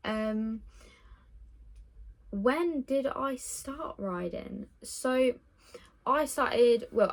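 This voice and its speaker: A young woman of nineteen talks to her phone camera in a loose, rambling way, full of ums and small laughs at herself. Her voice sits at a middling pitch. It is an easy, unscripted home vlog recorded in a room with the window open. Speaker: vlogger. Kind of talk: casual Q&A vlog.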